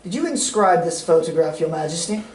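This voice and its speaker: A man's voice: a little high, animated, a little fast, lightly acted. An amateur actor, a man, playing an earnest, deferential doctor and loyal companion to a detective, in an old radio drama style. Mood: concerned, polite. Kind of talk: 1940s-style radio mystery acting. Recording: roomy, distant stage recording with faint noise.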